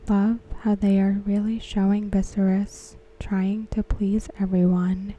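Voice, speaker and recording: Woman, ASMR whisper, dark mellow tone, breathy, slow. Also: mid-range voice, level tone. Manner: soft unvoiced whisper throughout. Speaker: ASMR creator discussing a TV episode.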